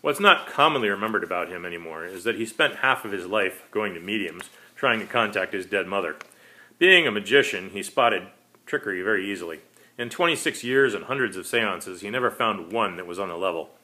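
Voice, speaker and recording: A man's voice: lowish voice, expressive, fairly quick, sharp tone. A man, an author, reading his own short story as dramatic scripted narration.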